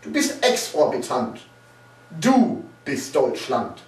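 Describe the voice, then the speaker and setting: A man's voice: mid-range voice, huge pitch swings, takes it slowly, a little mellow. Male poetry reciter, theatrical and declamatory, with widely swinging intonation; roomy, distant-mic sound. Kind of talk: dramatic poetry recitation, satirical. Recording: roomy echo, distant microphone.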